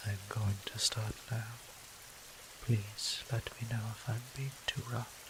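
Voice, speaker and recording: A man playing a gentle, teasing vampire priest in an intimate audio roleplay, speaking softly and breathily to the listener in courteous, old-fashioned phrasing. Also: fairly low pitch, level tone, measured pace. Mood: warm, reassuring. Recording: clear hiss and rain sound behind.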